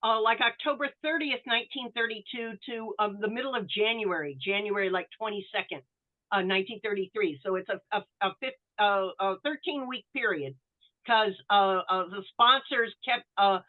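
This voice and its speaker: Phone-like narrow sound, female author guest, medium pitch, halting delivery, casual explanation. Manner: halting, searching for words. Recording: thin, phone-like call audio.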